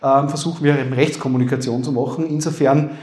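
A man with an Upper Austrian accent, a lawyer speaking live to an audience, making dry joking asides that trail off low. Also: steady tone, fast talker, a little mellow. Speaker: lawyer and comedy panelist. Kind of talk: live stage talk with jokes. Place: university hall before a live audience. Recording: echoey room.

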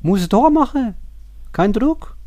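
A man's voice: very high voice, very animated, measured pace, warm tone, dry recording. A man co-hosting a football podcast, talking casually and commenting on play as it unfolds.

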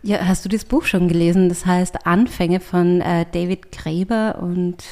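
Woman, interview guest, writer and artist; lowish voice, thoughtful, hesitant phrasing. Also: fairly even intonation, measured pace, mellow. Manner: thoughtful, searching for words, many fillers and restarts. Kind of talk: reflective conversational interview.

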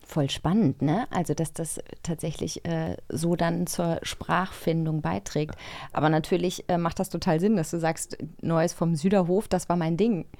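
A woman's voice: lowish voice, steady tone, normal speed, a little mellow. A woman co-hosting a casual podcast in an informal, interested manner. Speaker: podcast co-host.

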